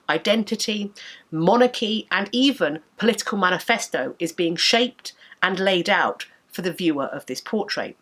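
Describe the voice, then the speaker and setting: A woman in her thirties with a stuffy, congested nose and a sore throat, her voice sitting a little low. She explains history in a measured, articulate, enthusiastic way, talking straight to a camera in her book-lined study. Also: a bit bright. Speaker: history educator and channel host. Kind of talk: scripted history lecture to camera. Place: home study with bookshelves, indoors.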